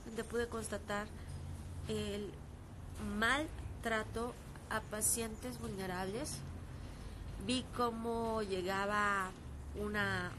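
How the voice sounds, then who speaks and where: A woman in her thirties with a Mexican accent talks casually and earnestly into her phone on an outdoor terrace. She is hoarse from a sore throat and dry cough, and she pauses often with fillers. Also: medium pitch, steady tone, background noise. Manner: earnest, halting, with frequent fillers. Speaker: actress streaming live. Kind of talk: casual live-stream talk. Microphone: phone at arm's length.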